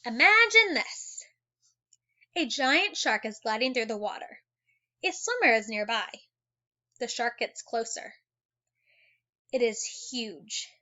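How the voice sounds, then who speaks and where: Female teacher for children's nonfiction read-aloud. Fairly high, bright, expressive delivery with chatty asides; slightly dull recording. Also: slow, long pauses. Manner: animated, exclaiming and wondering aloud for young listeners.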